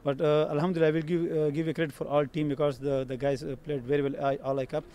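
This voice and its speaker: An Afghan man, a cricket captain, talks rapidly in halting second-language English, filling gaps with uh and repeating words. His voice stays level and monotone. He is interviewed outdoors at the ground. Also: fairly high pitch. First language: Pashto. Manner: halting, many fillers and restarts. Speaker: team captain. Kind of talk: post-match interview.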